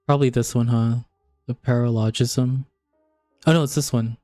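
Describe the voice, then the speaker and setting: A man's voice: mid-range voice, steady tone, moderate pace, mellow, dry recording. A man chatting casually as a gaming livestreamer, peppering his talk with "like". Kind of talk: casual gaming stream chat. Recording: music playing behind. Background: background music.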